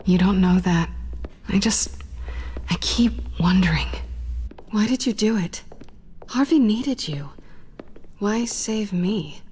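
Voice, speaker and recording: An actress playing a guarded, conflicted young woman thief in a video game drama, breathy and husky, uneasy and evasive. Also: mid-range voice, lively intonation, takes it slowly, long pauses, a little mellow, a little hiss or hum. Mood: troubled, wondering.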